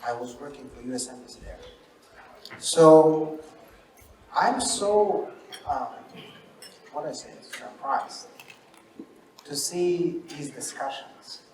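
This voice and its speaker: A man from Afghanistan with an Afghan accent asking a question from the audience in halting, emphatic short phrases. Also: on the high side, expressive, darkish tone, far from the mic, slight background noise. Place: dinner event hall, audience floor. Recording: echoey room, off-mic, clattering dishes.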